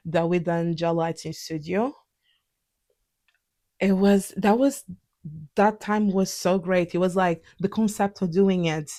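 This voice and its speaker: A woman's voice: lowish voice, warm tone. A young woman with a Portuguese accent, a native Portuguese speaker, talking candidly in a conversational, reflective personal vlog. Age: young adult. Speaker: vlog host.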